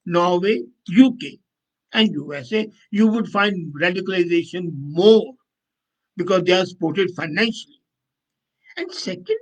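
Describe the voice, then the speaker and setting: Male commentator, notably high voice, emphatic and opinionated, off-the-cuff delivery. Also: rough recording. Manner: emphatic, opinionated, self-correcting. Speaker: commentator and host answering viewer comments. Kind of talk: unscripted political commentary.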